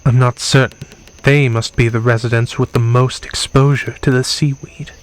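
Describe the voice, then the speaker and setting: An actor playing an enigmatic, cool-headed professor in a horror audio drama, measured and analytical. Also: male voice, mid-range voice, level tone, natural voice. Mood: watchful, grave. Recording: faint music behind.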